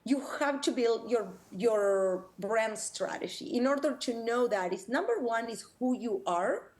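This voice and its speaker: A woman's voice: fairly high pitch, animated, a little slow, darkish tone, rough recording. A woman from Argentina with an Argentine accent, a personal branding coach speaking eagerly in an interview over a video call.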